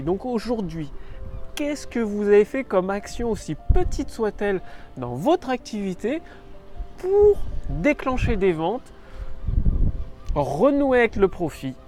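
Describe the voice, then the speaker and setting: A man's voice: sits high, darkish tone. A man with a cold giving business coaching in a casual vlog, standing outdoors on a rock on an island, repeating his points emphatically. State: has a cold.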